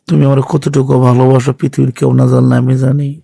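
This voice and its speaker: A man's voice: medium pitch, flat delivery, quick pace, vocal fry, dark tone, slightly rough, no room sound. A man playing a regretful young lover, pleading in a subdued way in colloquial Bangladeshi Bengali on an emotional phone-call drama. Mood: sad, resigned.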